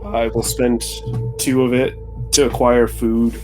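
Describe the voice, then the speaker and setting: A man's voice: mid-range voice, flat delivery, a little slow, darkish tone. A man playing a tabletop game, chatting casually in an irreverent, sweary way. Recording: clear music behind the voice.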